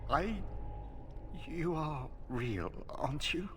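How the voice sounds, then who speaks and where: An actor playing a confused, tormented imprisoned knight in a video game, halting and weepy, with music behind him. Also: male voice, a little high, lively intonation, a bit bright. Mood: fragile pleading. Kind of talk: dramatic video game acting.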